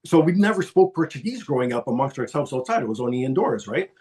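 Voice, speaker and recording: A man's voice: medium pitch, big pitch swings, fast talker, a little mellow. A middle-aged man from Toronto, a podcast guest talking casually and at length, rambling a little with restarts. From Toronto, Canada. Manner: chatty, rambling, self-deprecating with restarts. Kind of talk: casual interview talk.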